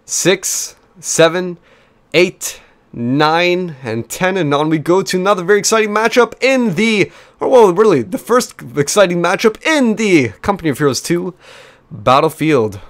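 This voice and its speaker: Chatty young man casting a game. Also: on the high side. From New Zealand. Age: 20s. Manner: chatty, energetic, frequent fillers and restarts. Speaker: game caster. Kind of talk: replay commentary.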